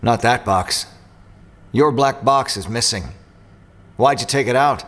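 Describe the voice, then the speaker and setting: An actor playing a boastful, touchy freelance mercenary in a science-fiction audio drama, speaking in a blunt, defensive way. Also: male voice, mid-range voice, measured pace, fairly bright tone, natural voice. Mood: grim.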